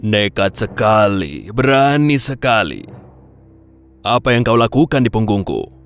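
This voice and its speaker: A man's voice: lowish voice, sing-song, unhurried, clean tone. A man voicing a proud, menacing lion king in a children's cartoon fable, speaking in an imposing, scornful way. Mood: indignant. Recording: music behind the voice.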